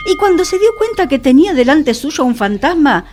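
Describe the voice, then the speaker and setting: A woman's voice: sits high, sing-song, brisk, a little mellow. A woman playing a sentimental, wistful lady in a theatrical radio play. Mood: relieved, curious. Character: sentimental, wistful woman fond of her ghost friend.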